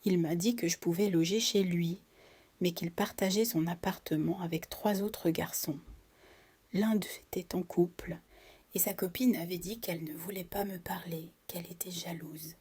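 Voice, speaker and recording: A woman's voice: on the low side, fairly even intonation, moderate pace, a little mellow, husky, no echo. A woman reading a scripted first-person life story as its narrator.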